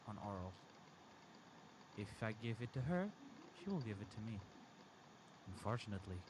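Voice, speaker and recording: A man whose native language is Portuguese reads a story aloud in an informal, amateur way. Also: fairly low pitch. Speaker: reader of a story. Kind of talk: amateur story reading with asides to friends. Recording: clearly noisy amateur recording.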